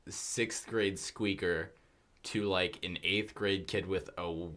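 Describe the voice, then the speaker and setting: Teen guy on a podcast, real low voice but bright edge, rambles w lots of ums. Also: fairly even intonation, takes it slowly. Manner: rambling storytelling with many fillers. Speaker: podcast co-host. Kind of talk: casual podcast chat. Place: small podcast studio.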